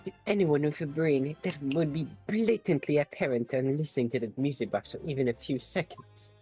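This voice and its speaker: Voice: medium pitch, fairly quick, mellow, soft background music, lightly acted. A streamer voicing a smug, evasive male witness in a fan dub of a game, speaking in a condescending way.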